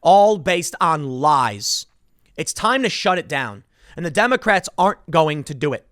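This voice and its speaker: A man's voice: a little high, big pitch swings, unhurried, a bit bright, no echo, studio quality. A man giving impassioned solo political commentary as a host, emphatic and indignant, repeating phrases for stress.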